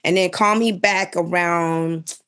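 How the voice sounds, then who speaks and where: A woman's voice: lowish voice, steady tone, slow, fairly bright tone, clear, resonant tone. A middle-aged woman speaking bluntly and emphatically in a casual panel discussion as a guest.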